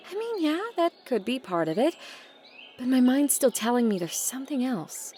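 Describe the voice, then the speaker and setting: A woman's voice: sits high, big pitch swings, moderate pace, warm tone, slight background noise. A woman playing a guarded, blunt adult daughter of a wealthy family in an audio drama, with a dry, curt way of talking. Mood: wry, uneasy. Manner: dry, curt, bossy with siblings.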